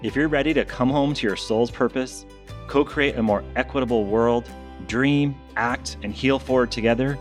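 A man hosting a podcast, reading a measured scripted introduction. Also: mid-range voice. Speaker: podcast host. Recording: intro with soft guitar music.

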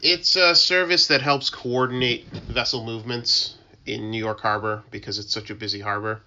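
A man explaining things as an interview guest in an informal, halting, conversational way. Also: medium pitch, steady tone, sharp tone, highs cut off, a little hiss or hum. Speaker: interview guest, working tugboat mariner.

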